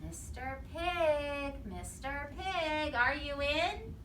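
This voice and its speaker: A woman voicing a sly, polite male storybook wolf with slow, singsong delivery, in a roomy recording. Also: sits high, lively intonation, a bit bright, full character voice. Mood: coaxing, polite. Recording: roomy, distant mic with background noise.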